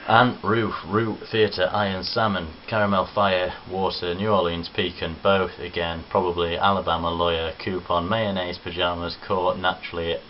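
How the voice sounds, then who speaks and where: Very deep-voiced Nottinghamshire lad. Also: male voice, little pitch movement, normal speed, hardly any pauses. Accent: English Midlands (Nottinghamshire). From Nottinghamshire, England. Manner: chatty, self-deprecating, rambling asides. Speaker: vlogger. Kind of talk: casual accent-challenge vlog. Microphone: camera on tripod. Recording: dull lo-fi webcam audio with faint hiss.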